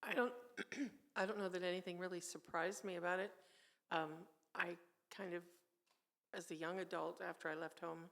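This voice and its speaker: A woman's voice: lowish voice, steady tone, normal speed, gravelly. A middle-aged or older woman speaking candidly and reflectively in a group book discussion. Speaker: class participant.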